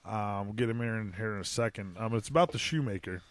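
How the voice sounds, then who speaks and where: A man's voice: on the low side, brisk, no room sound. A young man hosting a crude, casual podcast, laid-back and swearing often. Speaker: podcast host.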